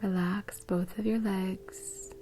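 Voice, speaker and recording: A woman guiding a meditation in a soft, breathy, soothing way. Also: mid-range voice, little pitch movement, takes it slowly, soft background music. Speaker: meditation guide. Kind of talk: guided meditation.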